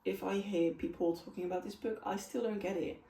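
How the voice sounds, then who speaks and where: A woman's voice: medium pitch, fairly even intonation, brisk, dark tone. Woman book vlogger in an echoey room. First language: not English. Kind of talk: casual chat to camera, ranking books. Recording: roomy echo, distant from mic.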